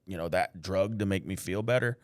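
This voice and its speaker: A man's voice: low voice, a little fast, dry recording, clean studio sound. A man hosting a podcast, speaking earnestly and often filling his speech with "like" and "man", sharing his own experience as he asks questions. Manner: earnest, faith-driven, fills with "like" and "man". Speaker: podcast host. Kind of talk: casual interview conversation.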